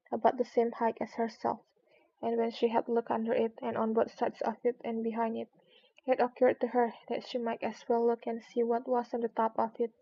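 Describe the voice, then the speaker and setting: A woman reads a storybook aloud in a fairly high voice. Her delivery is very flat, with noticeable fry in the voice. She sounds like a streamer doing a read-aloud session. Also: dull audio. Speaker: streamer reading books aloud.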